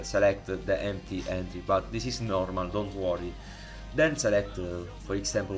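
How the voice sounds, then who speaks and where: Italian-accented man with a low voice. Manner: halting, many fillers and restarts. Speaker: modding tutorial host. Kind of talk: casual screen-recorded tutorial. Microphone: desktop USB microphone.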